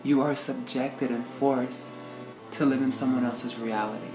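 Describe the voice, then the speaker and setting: A woman's voice: a bass voice, fairly even intonation, measured pace. A young woman speaking earnestly and reflectively in a documentary interview. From born in Maryland, raised in Puerto Rico and Brooklyn. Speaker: interviewee, artist and poet. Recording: roomy sound with music underneath.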